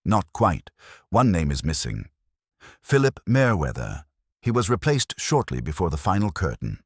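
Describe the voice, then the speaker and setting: A man reading the part of a terse, brooding Victorian detective in an audiobook, grave and deliberate. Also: low-pitched, huge pitch swings, breathy edge, no room sound, clean studio sound, heavily acted. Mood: grave, intent. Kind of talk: audiobook character dialogue.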